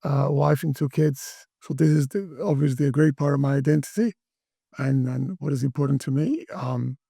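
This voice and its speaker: Man, from Brazil, interview guest, husky voice, dry close sound, hesitant speech. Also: medium pitch, dark tone, dry recording. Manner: halting, many restarts and fillers. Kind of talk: interview conversation.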